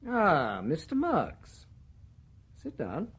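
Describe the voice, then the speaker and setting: An actor playing a stern, pompous middle-aged male director in a radio drama, husky and formal. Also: fairly high pitch, huge pitch swings, extremely slow, full character voice. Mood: reproving. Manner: reproving, then drifting into a hushed memory. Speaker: Director, a superior official. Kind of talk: 1990s radio drama acting.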